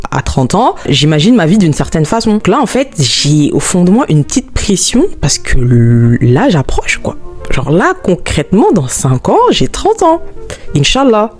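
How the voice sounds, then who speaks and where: Vlogger, woman, mid-twenties, chatty and expressive, soft music under her voice. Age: 20s. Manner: chatty, expressive, swoops up high when excited. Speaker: YouTuber. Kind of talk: casual vlog talk to camera.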